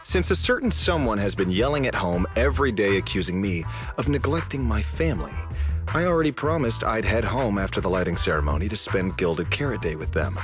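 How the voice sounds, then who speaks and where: A man voicing a formal, dry male public security officer in an English game dub, speaking in a measured, politely pointed way. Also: on the low side, animated, music in the background, close to an everyday voice. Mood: dryly teasing.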